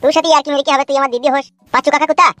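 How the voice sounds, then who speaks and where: A man's voice: extremely high pitch, steady tone, rapid-fire, fairly bright tone, no room sound, soft background music. A man voicing a brash, foul-mouthed young villager in a comic cartoon, with a rural West Bengal accent and a blustering manner. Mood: matter-of-fact. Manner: blustering, crude.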